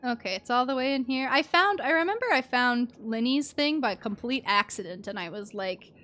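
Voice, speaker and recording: A young woman live-streaming a game, chatting casually with an expressive, playful manner. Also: on the high side, normal speed, soft background music. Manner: expressive, playful, exclaims and chants little words.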